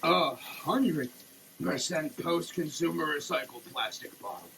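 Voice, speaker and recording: A man giving a comedic dramatic reading of a product label, theatrical and over-emphatic, with halting delivery. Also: fairly high pitch, animated, vocal fry, sharp tone, slight background noise, poor audio. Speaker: comedic performer.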